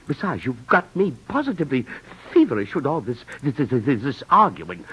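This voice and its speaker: A man's voice: medium pitch, very animated, a little fast, highs cut off, a little hiss or hum, close to an everyday voice. An actor playing a fussy, stern middle-aged male librarian in 1940s radio drama style, prim and prone to repeating words in flurries. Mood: huffy.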